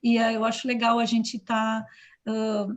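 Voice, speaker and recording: A woman's voice: on the high side, monotone, a little slow. Hesitant woman on a video call. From Flores da Cunha, southern Brazil. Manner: hesitant, many fillers and restarts. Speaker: musician and singing teacher. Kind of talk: online meeting talk.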